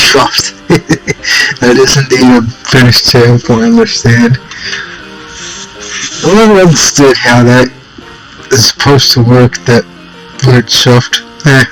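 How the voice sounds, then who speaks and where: A man reading an interactive text game aloud. He adds amused asides, often clears his throat, and stumbles and rereads phrases. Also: mid-range voice, animated, slow pace, long pauses, a bit bright, faint music behind, low-quality recording.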